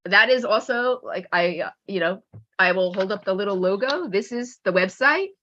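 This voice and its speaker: A woman teacher giving an informal webinar talk with anecdotes in an animated manner, acting out remarks as she goes. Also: medium pitch, normal speed, lo-fi sound. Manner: animated, acts out pupils' remarks. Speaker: teacher and author presenting a webinar.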